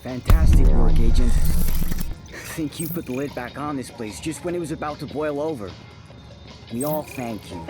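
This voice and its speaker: An actor playing a weary, plain-spoken police officer from Brooklyn in a video game cutscene, earnest and grateful. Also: male voice, medium pitch, moderate pace, close to an everyday voice. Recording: music behind the voice.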